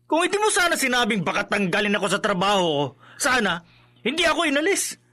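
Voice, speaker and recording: A man playing a hard-drinking construction worker and husband in a radio drama, whiny, defensive and quick to blame. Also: sits high, sing-song, sharp tone, heavily acted. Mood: angry, blaming. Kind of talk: emotive radio drama acting.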